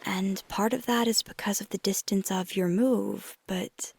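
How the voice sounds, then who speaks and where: A flirty, caring vampire woman played by a female performer speaks in an intimate ASMR roleplay. Her soft voice is breathy and gravelly with fry, and she takes her time over every phrase. She sounds affectionate and gently teasing, speaking close to the listener. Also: mid-range voice, fairly even intonation, no room sound. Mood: reassuring, earnest. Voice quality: soft, breathy, often whispery. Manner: unhurried, thoughtful, affectionate.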